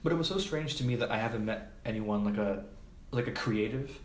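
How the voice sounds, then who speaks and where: A man's voice: fairly low pitch, brisk. A man speaking as an interview guest in a casual, informal, hesitant way, with lots of filler words. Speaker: podcast guest, film production assistant. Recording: echoey room, background noise.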